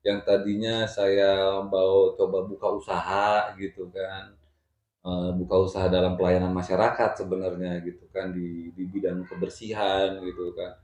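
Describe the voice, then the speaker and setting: A middle-aged man with a fairly low voice speaks as an interview guest. He tells personal stories in a loose, colloquial way, circling back over his phrases. The room around him is noticeably echoey. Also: completely flat delivery, moderate pace, a little mellow. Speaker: interview guest telling life story. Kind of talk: casual chat, personal storytelling.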